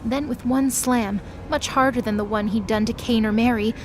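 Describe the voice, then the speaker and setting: A woman's voice: a little high, steady tone, normal speed, noisy background. A woman delivering dramatic scripted narration as the narrator of an audio drama.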